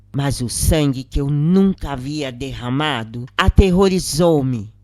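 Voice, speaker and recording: A Brazilian narrator reading a scripted first-person spiritual account in a measured, solemn way, in short phrases. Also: medium pitch, dark tone, dry recording.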